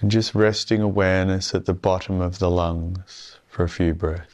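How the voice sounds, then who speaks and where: A man leading a guided meditation in a calm, soothing, measured way with long pauses. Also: sits low.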